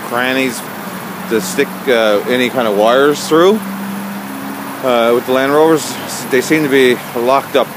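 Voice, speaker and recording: A man giving a casual, unscripted walkthrough as an amateur vlogger, speaking haltingly with frequent pauses. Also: medium pitch. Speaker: amateur vlogger showing an installation. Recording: clear car and outdoor background noise.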